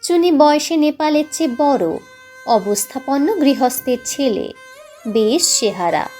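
A woman's voice: high voice, expressive, unhurried, darkish tone. A woman reading a scripted literary story as a dramatic narrator. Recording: music playing behind the voice.

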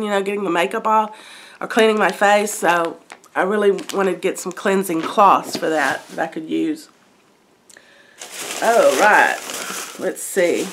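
A woman's voice: mid-range voice, a bit bright, slight background noise. Middle-aged woman vlogger, congested from a cold, chatty and easygoing, close to the camera at home. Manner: chatty and unhurried, with frequent ums and asides. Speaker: haul vlogger. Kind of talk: casual show-and-tell chat. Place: living room by a brick fireplace, indoors.

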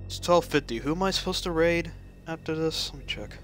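Man, higher pitch, casual game streamer, self-mocking reactions, music behind. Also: animated, fairly quick. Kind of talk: casual gameplay commentary. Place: small room indoors, live stream. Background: game audio with music.

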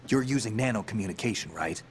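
A male actor plays a gruff, low-voiced soldier in a game cutscene. He is wary and terse, yet his pitch moves widely. There is loud-enough clear noise behind him. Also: animated, fast talker, close to an everyday voice. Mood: low, businesslike. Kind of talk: video game cutscene voice acting. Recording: noisy game soundtrack behind the voice.

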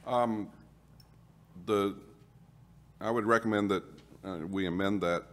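A man on a city council proposing an amendment and explaining his reasoning deliberately. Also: on the low side, fairly even intonation. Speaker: council member. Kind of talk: public meeting discussion.

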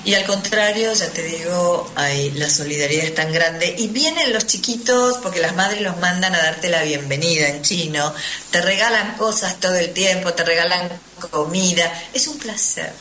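A woman's voice: lowish voice, moderate pace, bright, forceful tone. An Argentine woman recounting her experiences as an interview guest, conversational and emphatic, repeating words for stress. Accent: Argentine Spanish. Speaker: radio interview guest, traveller. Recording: faint noise, roomy echo.

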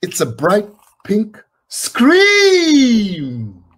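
A man's voice: high-pitched, huge pitch swings, very slow, a bit bright. A middle-aged man reading picture books aloud to children, chatting casually and encouraging them to join in. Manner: encouraging, inviting listeners to join in. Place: indoors, small home room.

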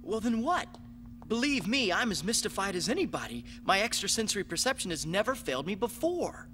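Earnest, high-voiced young psychic cadet. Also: male voice, very animated, a little fast, pushed and bright, a little hiss or hum, exaggerated voice. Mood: bewildered. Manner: earnest, wondering. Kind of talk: animated sci-fi dub acting.

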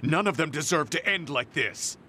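A man's voice: a little high, lively intonation, normal speed, sharp tone, a little hiss or hum. An actor playing a stern, earnest, middle-aged male soldier in a game cutscene, speaking seriously and bluntly. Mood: grieving anger. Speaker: game character.

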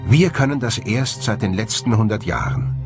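A man narrating a scripted documentary in a measured, explanatory way. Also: on the low side, expressive, vocal fry. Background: music under the voice.

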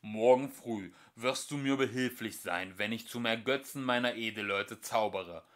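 A man's voice: fairly low pitch, normal speed, fairly bright tone, close to an everyday voice. A man voicing a foolish, short-tempered king in a storybook, commanding and pompous in manner. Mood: threatening.

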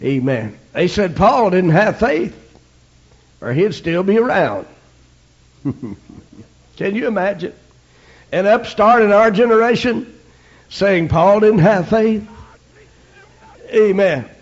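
A man's voice: high voice, very animated, slow, long pauses. An American man preaching a fervent Pentecostal sermon in emphatic, broken phrases punctuated with amens. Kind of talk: fervent Pentecostal sermon, swinging from shouts to hushed asides. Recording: dull, lo-fi archival sermon tape.

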